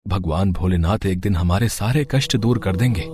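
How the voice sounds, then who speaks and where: पुरुष द्वारा निभाया विनम्र, थका गरीब मज़दूर पिता. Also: male voice, low voice, moderate pace, darkish tone, voice pushed down, close to an everyday voice. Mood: tender. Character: poor, humble, devout farm labourer father.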